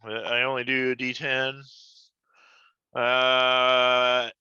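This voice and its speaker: A man's voice: medium pitch, monotone, drawn-out words, pushed and bright, clean tone, no room sound. A man playing a tabletop game, chatting casually and working out his dice rolls aloud, on slightly muffled audio.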